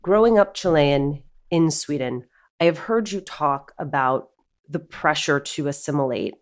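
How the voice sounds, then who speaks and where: A woman's voice: low-pitched, lively intonation, unhurried. A woman hosting a podcast, reading a scripted intro and then asking probing questions. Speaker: podcast host. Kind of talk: podcast interview.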